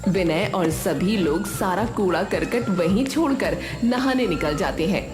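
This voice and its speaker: A woman's voice: on the low side, lively intonation, a little slow, over music. Woman narrating a story, echoey.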